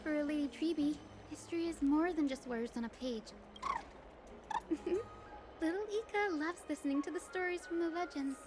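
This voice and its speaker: Very high, sweet girlish voice by an actress. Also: moderate pace, background noise, close to an everyday voice. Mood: sweet, hopeful. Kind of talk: video game voice acting. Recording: loud music under the voice.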